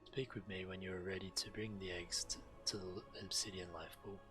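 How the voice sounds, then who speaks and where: A man's voice: deep voice, little pitch movement, brisk. A man who streams games, reading fantasy quest dialogue aloud. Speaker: game streamer. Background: game music.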